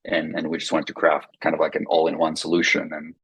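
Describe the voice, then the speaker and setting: A man whose first language is Finnish, speaking as a company co-founder in a measured, explanatory podcast interview. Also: extremely low pitch, completely flat delivery, fairly bright tone, husky, lo-fi sound.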